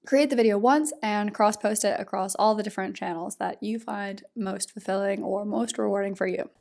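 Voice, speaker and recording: A woman with a blocked, stuffy voice from a heavy cold talks to camera in a casual vlog. She keeps it chatty and upbeat and drops in fillers as she explains. Her pitch sits in a middle range. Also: a little mellow. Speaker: YouTuber.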